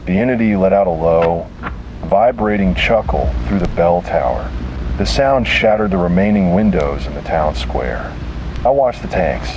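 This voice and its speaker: A man reading a scripted first-person horror story in a solemn, measured manner with short, deliberate phrases. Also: low-pitched, expressive, background noise. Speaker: narrator.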